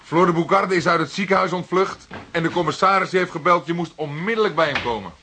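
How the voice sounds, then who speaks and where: A man playing an eager, impatient younger police detective in a radio drama, speaking in a brisk, earnest way. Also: on the high side, bright, forceful tone, a little hiss or hum. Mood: urgent, exasperated.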